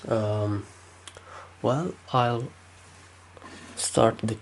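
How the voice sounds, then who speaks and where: A man's voice: medium pitch, extremely slow, gravelly, very noisy. A man with a Romanian accent, speaking unscripted with many fillers and restarts while demonstrating something at his desk. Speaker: tech video maker.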